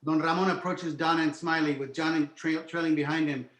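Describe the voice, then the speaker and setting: A man's voice: on the high side, steady tone, a little fast, a bit bright. A man reading stage directions as the narrator of a screenplay table read. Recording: roomy, echoing home recording.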